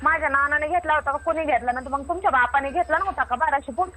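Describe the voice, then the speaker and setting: A woman's voice: high voice, racing, pushed and bright. A woman playing a stubborn, self-assured water diviner with a rural Maharashtrian Marathi accent, arguing insistently and repeating herself in a comic phone-call skit. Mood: heated, stubborn.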